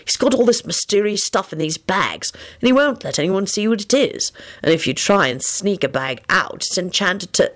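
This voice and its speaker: A dutiful, fretful young wizard , as a woman reader plays him, earnest and a little scolding. The pitch moves about freely. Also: animated, normal speed, fairly bright tone, natural voice.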